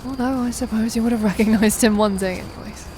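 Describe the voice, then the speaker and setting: A woman acting a young, sardonic and guarded daughter in a naturalistic audio drama, with dry, deadpan teasing. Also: a little high, quick pace, natural voice. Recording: noticeable background noise and ambience.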